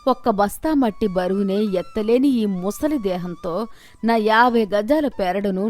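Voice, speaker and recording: A woman's voice: a little high, natural voice. Woman acting shrewd, poor old village woman. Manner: pleading, respectful address building to stern rebuke.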